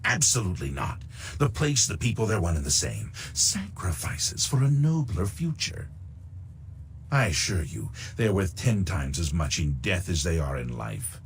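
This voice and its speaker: Game villain: middle-aged smooth-talking recruiter, very low creaky voice, pompous and menacing, lingering over words. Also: male voice, very animated, a little hiss or hum. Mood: smug, cold. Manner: pompous, unctuous, deliberate, florid diction. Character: suave, sinister middle-aged male recruiter for shadowy interests. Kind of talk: theatrical video game dialogue.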